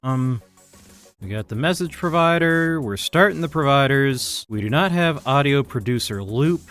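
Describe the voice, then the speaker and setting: A man's voice: medium pitch, lively intonation, moderate pace, fairly bright tone. A man giving casual, informal live coding commentary as a streaming programmer, muttering to himself as he works. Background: chiptune-style keygen music.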